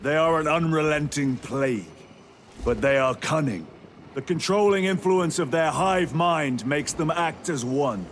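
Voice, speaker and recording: A man's voice: a little high, measured pace, a little hiss or hum, natural voice. A man playing a stern veteran super-soldier lieutenant in a video game, terse, formal and authoritative. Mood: grave. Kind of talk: dramatic video game acting. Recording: loud music and battle din behind.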